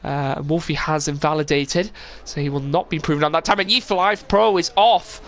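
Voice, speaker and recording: A man's voice: fairly high pitch, fairly even intonation, fairly quick, fairly bright tone. A young man with a cold, commentating a sim racing stream casually with lots of fillers. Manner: casual, rambling with many fillers. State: full of cold, sniffly. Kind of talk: live stream race commentary.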